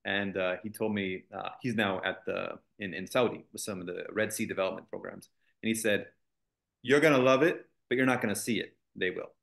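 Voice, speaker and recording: A man's voice: lowish voice, fairly quick, creaky, fairly bright tone. A man, a college executive as interview guest, speaking fluently with confident, joking ease. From United States. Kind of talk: interview conversation.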